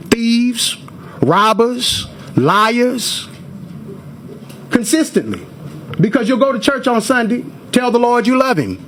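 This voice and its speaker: A man's voice: high voice, fairly bright tone, a little hiss or hum. A forty-year-old American man giving impassioned public testimony to a committee in an emphatic, confrontational, oratorical manner. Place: government committee hearing room. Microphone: hearing microphone.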